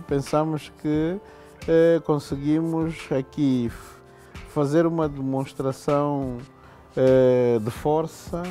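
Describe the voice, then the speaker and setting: A middle-aged man from Angola talks through a building design at a slow, hesitant pace, filling pauses with "eh". His voice is dark and mellow. Faint music plays under him in an indoor hall. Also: mid-range voice. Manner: slow, halting, many fillers. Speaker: pavilion designer interviewed. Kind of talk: interview explanation.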